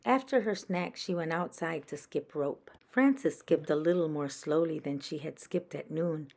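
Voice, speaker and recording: Slightly low, slow-paced woman reading a storybook. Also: creaky, a little mellow. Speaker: children's storybook reader. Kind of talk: read-aloud storytelling for young children.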